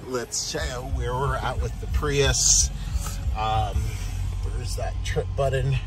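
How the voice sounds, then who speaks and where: A man in his thirties hosting a casual road-trip vlog, chatty and excitable, talking straight to his viewers from a car and roadside rest stops on a handheld camera. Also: medium pitch, extremely slow. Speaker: car vlogger. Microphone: phone or camera held at arm's length. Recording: noisy road and car background.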